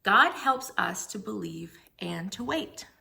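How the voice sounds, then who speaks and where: A woman's voice: mid-range voice, animated, slow pace, vocal fry, bright, forceful tone. A woman reading a Bible story aloud for young children, explaining things simply and putting questions to her young listeners.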